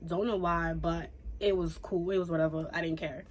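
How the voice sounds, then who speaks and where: A woman's voice: on the low side, moderate pace. Chatty young woman telling a story. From Haiti. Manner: chatty, animated, says "like" and "y'all" a lot. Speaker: YouTuber. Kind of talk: casual storytime.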